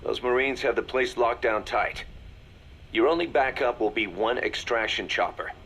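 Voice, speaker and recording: An actor playing a serious military second-in-command in a video game, briefing in a businesslike, measured manner. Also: male voice, mid-range voice, fairly bright tone.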